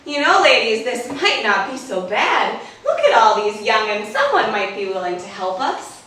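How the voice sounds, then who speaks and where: A woman playing an excitable, playful colonial lady in a live stage play, theatrical and gushing. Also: a little high, normal speed. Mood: delighted. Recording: echoey hall, distant microphone.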